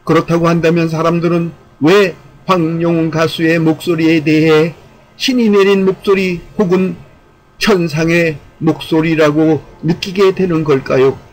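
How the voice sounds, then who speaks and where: A man narrates a prepared commentary in a somewhat high voice. His intonation stays very flat and even. The phrasing is formal and measured throughout. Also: rough recording. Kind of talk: scripted narration of a fan commentary.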